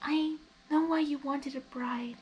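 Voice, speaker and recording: Girl doing a timid sweet pony lady, soft and hesitant, really high voice. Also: steady tone, measured pace, lo-fi sound. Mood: gentle concern. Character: shy, gentle, kindly female cartoon pony.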